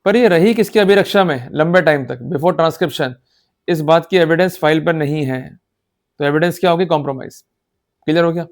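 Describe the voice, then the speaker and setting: A man's voice: on the high side, moderate pace. A man with an Indian accent giving an instructional law lecture, emphatic and exhorting, often checking that listeners follow. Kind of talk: instructional law lecture in Hindi-English mix.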